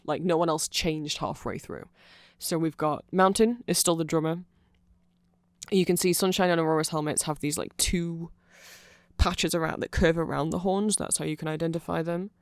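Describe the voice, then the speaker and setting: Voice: mid-range voice, no room sound. Rambling ASMR explainer, close and dry. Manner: nerdy, rambling, trailing asides and self-corrections. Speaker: ASMR audio creator. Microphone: close microphone in a dry space.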